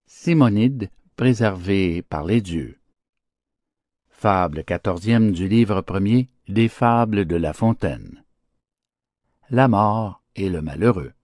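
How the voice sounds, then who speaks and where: A man narrating fables as an audiobook, with declamatory, theatrical phrasing and an expressive literary delivery. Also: fairly low pitch, no echo, studio quality.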